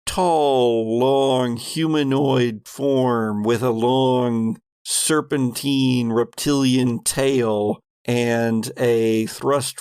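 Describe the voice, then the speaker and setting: A man's voice: medium pitch, darkish tone, clear, resonant tone, dry recording, clean studio sound. A man working as game master, narrating a tabletop horror role-playing game in a conversational, descriptive storytelling way.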